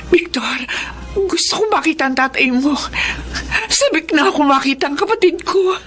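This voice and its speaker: A woman's voice: sits high, lively intonation, moderate pace, a bit bright. A Filipino woman acting in a radio drama as a kind, emotional middle-aged mother, tender and dramatic. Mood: tearful. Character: emotional kind mother reunited with long-lost brother.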